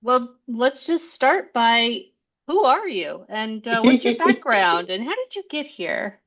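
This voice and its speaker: A woman's voice: fairly high pitch, very animated, slow, fairly bright tone, muffled recording. A woman co-hosting a casual interview, friendly and laughing often. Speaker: co-host.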